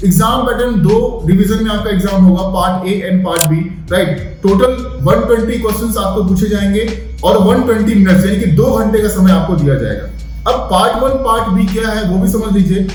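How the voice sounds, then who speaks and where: A man's voice: high voice, fairly quick, darkish tone. A man giving a methodical, explanatory coaching lesson, often adding little check-in tags as a teacher does. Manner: methodical, often checks with tag words like "right" and "okay". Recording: very echoey room, distant mic, music behind.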